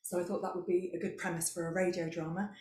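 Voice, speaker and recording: Youngish woman, lowish voice, roomy echo. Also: little pitch movement, fast, a little mellow. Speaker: competition finalist, playwright. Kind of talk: informal introduction of own work. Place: indoors, large parlour with fireplace.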